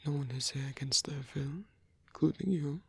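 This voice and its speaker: A performer playing a lonely old male vampire in an ASMR roleplay, tender and wistful, speaking in a breathy, husky whisper with halting delivery. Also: medium pitch, no echo. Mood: sombre, reassuring.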